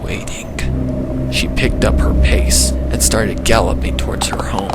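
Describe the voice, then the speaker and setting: A man reading a horror story as dramatic narration, in a hushed, breathy, suspenseful delivery. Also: sits low, expressive, slow pace. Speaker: fan fiction reader. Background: loud music bed.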